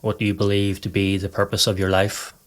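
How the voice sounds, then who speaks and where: A man narrating a scripted guided meditation in a calm, measured, instructive way. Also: lowish voice. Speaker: podcast host and meditation guide.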